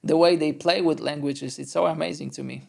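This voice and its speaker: Gravelly-voiced journalist telling stories. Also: male voice, a little high, steady tone, fairly quick, a little mellow. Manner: chatty storyteller, quotes others. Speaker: basketball journalist, podcast co-host. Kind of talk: casual conversation.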